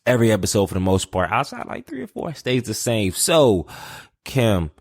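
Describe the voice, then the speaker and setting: A man's voice: lowish voice, wildly swooping pitch, moderate pace. A man hosting a podcast interview, playful and rambling, laughing often as he talks. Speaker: podcast host.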